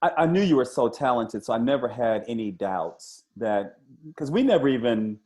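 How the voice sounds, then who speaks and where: A man with a mid-pitched, very lively voice hosts a casual interview. He is effusive and encouraging, doubling up on affirmations as he talks. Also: moderate pace. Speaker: interviewer and comics creator.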